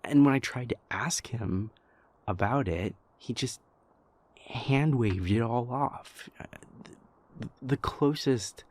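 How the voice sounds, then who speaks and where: A man playing an anxious, exasperated young vampire prince in an intimate audio roleplay, speaking in a halting, stammering way. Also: medium pitch, dry recording. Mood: exasperated, baffled. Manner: halting, stammering, venting, sighing pauses.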